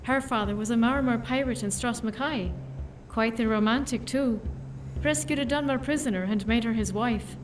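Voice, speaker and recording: Woman playing teasing young woman, sailor's daughter, fairly high voice, distant noisy game audio. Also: moderate pace, natural voice.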